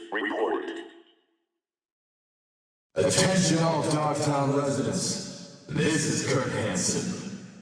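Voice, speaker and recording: A hard warlord who rules a lawless district in a video game. He delivers his announcements slowly and with menace, in a commanding, deliberate tone. The voice rings out distant and heavily echoed, as if from public loudspeakers. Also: mid-range voice. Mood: commanding, urgent, then stern, commanding. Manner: commanding, deliberate, threatening, speechifying. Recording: echoing public-address announcement, distant, reverberant.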